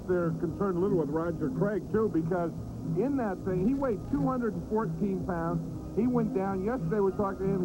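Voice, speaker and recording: An American man giving unscripted pre-game football analysis as a broadcast commentator. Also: sits high. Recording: noisy stadium broadcast booth.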